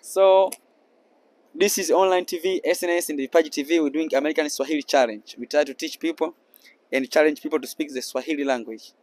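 A young Tanzanian man with a slightly raised pitch, holding a handheld mic out on a sunny boardwalk. He talks to passers-by slowly and patiently, in broken English, pausing as he explains. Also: fairly even intonation, a little mellow. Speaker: street interviewer. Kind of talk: casual street interview. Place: sunny beach boardwalk outdoors.

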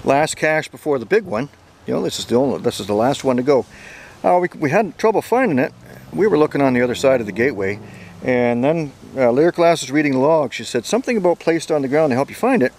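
A man's voice: mid-range voice, big pitch swings, quick pace, a little mellow, slight background noise. A man in his forties from Canada, chatting upbeat on a geocaching vlog outdoors, filmed on a handheld camera at arm's length. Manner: chatty, upbeat, laughs often. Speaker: geocaching vlogger. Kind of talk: casual outdoor vlog commentary. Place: outdoors on a bridge and wooded trails in summer.

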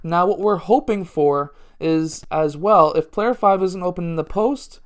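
Clear-voiced man coaching basketball plays. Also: high voice, measured pace. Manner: plain, talks through plays step by step. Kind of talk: casual instructional explanation. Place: small indoor room at home.

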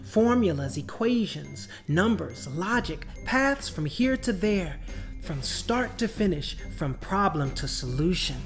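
A man narrates a children's storybook with deliberate, dramatic phrasing. His high, animated voice rises and falls widely over a light musical backing. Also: soft background music. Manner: expressive, deliberate phrasing with drawn-out words. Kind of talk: dramatic children's read-aloud.

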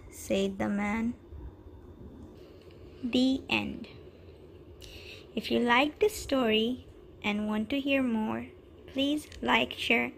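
A woman reading a children's story aloud in deliberate, simple phrases as a storyteller. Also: a little high, vocal fry, slight background noise.

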